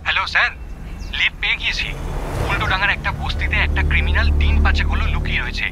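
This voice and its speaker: A man playing an eager junior police inspector in an audio drama, hurried and deferential. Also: high voice, background noise, natural voice. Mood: urgent.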